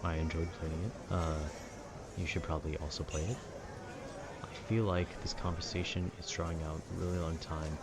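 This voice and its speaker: A man's voice: sits low, steady tone, moderate pace, heavy background noise. A man chatting casually in a laid-back, meandering, slangy way, as if rambling to a friend about video games. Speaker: audio roleplay creator.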